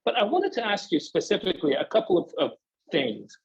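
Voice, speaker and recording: A man moderating an academic discussion off the cuff, often pausing and searching for his words. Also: high voice, wildly swooping pitch, creaky, slightly rough, dull audio.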